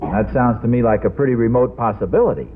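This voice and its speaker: A man playing an affable, mildly pompous middle-aged suburban father in a vintage radio sitcom, speaking in a mild, slightly halting way. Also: mid-range voice, lively intonation, natural voice. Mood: mild, moralizing. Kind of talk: 1940s-50s radio sitcom acting. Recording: noisy old radio broadcast, narrow band.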